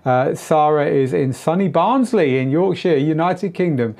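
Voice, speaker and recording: A middle-aged man with a tired, slightly hoarse voice, hosting a live-streamed DJ Q&A from a small studio on a lapel mic, chatty, with lots of fillers and restarts. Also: fairly high pitch, a little slow, a little mellow. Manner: moves around gesturing at equipment while talking. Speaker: DJ tutor and live Q&A host. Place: small home studio with shelves of gear.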